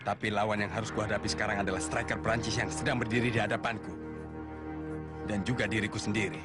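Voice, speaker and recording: A man's voice: fairly low pitch, flat delivery, fast, natural voice. A man voicing a determined, self-sacrificing young goalkeeper in a dubbed sports anime, with dramatic delivery. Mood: brooding resolve. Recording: loud music behind.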